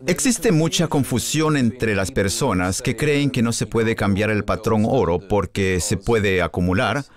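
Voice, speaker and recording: A man speaking as a guest on a finance show, explaining in a measured way. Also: fairly low pitch. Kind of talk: dubbed interview answers.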